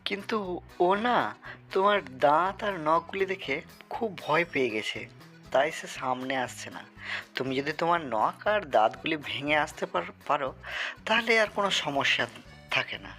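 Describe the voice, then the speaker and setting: Crafty cartoon father played by a man: scheming, scolding, forceful sharp tone, faint music behind. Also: fairly high pitch, animated, normal speed. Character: crafty, blustering father guarding his daughter. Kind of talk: Bengali children's folktale cartoon acting.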